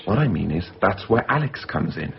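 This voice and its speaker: Scheming, low-voiced man, very lively. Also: normal speed, vocal fry, full character voice. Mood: insistent, scheming. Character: film-obsessed scheming young man, eager and insistent. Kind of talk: 1990s radio drama acting. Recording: muffled, noisy radio drama recording.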